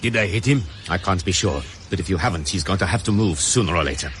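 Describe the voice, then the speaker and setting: Low-voiced, menacing KGB major. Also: male voice, expressive, fast, a bit bright, a little hiss or hum. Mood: tense, commanding. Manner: clipped, menacing, giving orders. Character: cold, commanding KGB major hunting his prey. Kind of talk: radio drama acting.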